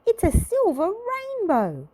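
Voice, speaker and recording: A cheerful little cartoon miss, acted by a woman reading a storybook, high and excitable. She calls out her lines eagerly, always keen for fun. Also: slow pace, heavily acted. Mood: excited. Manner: exclaiming, bright and eager.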